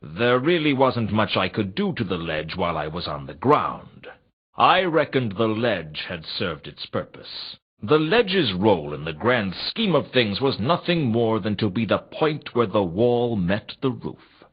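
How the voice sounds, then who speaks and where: A hardboiled private eye narrating his case in a video game. He is brooding and wisecracking, with a slightly husky edge and a very mobile, dramatic pitch under the deadpan wit. The audio is a little dull and lo-fi. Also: a little slow, exaggerated voice. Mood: dry, wry. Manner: deadpan, dry, brooding delivery. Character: world-weary, wisecracking male private investigator, hardboiled noir. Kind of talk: scripted noir detective narration for a video game.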